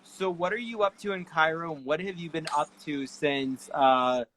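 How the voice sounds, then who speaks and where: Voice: mid-range voice, normal speed, a bit bright, poor audio. A host interviewing a guest on a video call, chatty and upbeat, often repeating short reactions like "cool".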